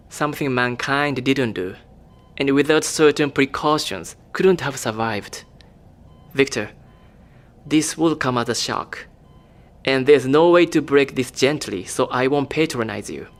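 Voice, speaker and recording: A man playing a calm, grave facility doctor in an audio drama. He speaks deliberately, with clinical care, in a middle-pitched voice. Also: lightly acted. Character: calm, grave male facility doctor breaking bad news.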